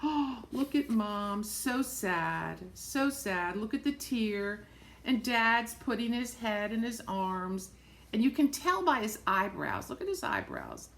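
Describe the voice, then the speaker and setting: A woman reading a picture book aloud to young children, expressively, pausing to point things out in simple words and repeating phrases. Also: a little high, very animated, gravelly, a bit bright. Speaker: storybook reader for young children.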